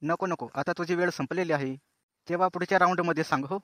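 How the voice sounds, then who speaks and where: A chatty cartoon show host, played by a man, speaks colloquial banter in a fairly high voice. The sound is very dry, and he teases contestants while addressing viewers like friends. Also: fairly even intonation, fast talker, a bit bright, no echo, lightly acted. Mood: breezy, hosting. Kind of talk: comic cartoon show presenting.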